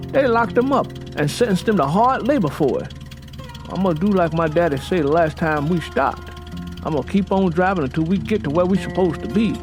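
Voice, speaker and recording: An actor playing a stubborn, plainspoken young Southern husband in an audio drama, folksy and insistent, with a Southern US accent. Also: male voice, high-pitched. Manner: folksy, insistent, long-winded.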